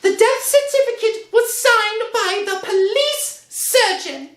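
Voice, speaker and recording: A woman's voice: very high voice, slow pace, voice pushed up. A woman playing an anxious, placating young lady in an old-time radio drama. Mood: polite, anxious. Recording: echoey, faint music underneath.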